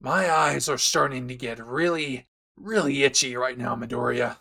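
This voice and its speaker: Give a gravelly, mid-pitched read of a short-tempered anime hero played by a man. He is blunt and sarcastic, with menace under every line. It is an audio roleplay, so keep the gruffness steady. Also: moderate pace. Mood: menacing.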